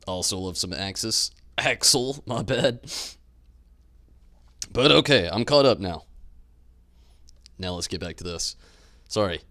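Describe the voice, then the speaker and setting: A man's voice: low voice, expressive, slow pace, long pauses, sharp tone. A man livestreaming, chatting informally with his audience in a casual, conversational way. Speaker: live streamer. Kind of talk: casual stream chat and commentary.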